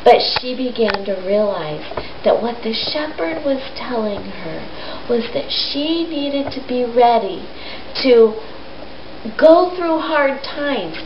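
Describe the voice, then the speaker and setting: A woman telling a story to children in a simple, gentle teaching way, with pauses. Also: fairly high pitch. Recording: roomy echo, dull sound, faint noise.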